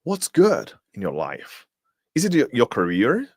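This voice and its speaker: A man's voice: medium pitch, wildly swooping pitch, measured pace, gravelly, husky, no room sound, clean studio sound. A man whose first language is Portuguese gives a live-streamed motivational talk as host. He is emphatic, fires off rhetorical questions, and repeats words as he searches for them.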